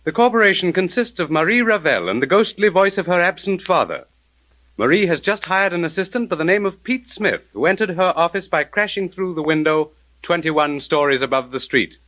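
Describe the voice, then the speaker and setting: A theatrical old-time radio announcer, pitched fairly high and swooping widely. He delivers scripted mystery narration in a formal, declamatory manner, built for suspense. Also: expressive, fairly quick, a bit bright, low-quality recording. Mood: dramatic, suspenseful. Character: dramatic male radio mystery announcer recapping the story.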